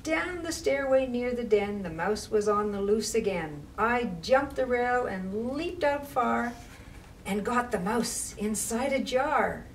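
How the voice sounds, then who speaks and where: A woman reading to young children as a library storytime reader, with measured, expressive phrasing and casual asides. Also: fairly high pitch. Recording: distant mic with faint background noise.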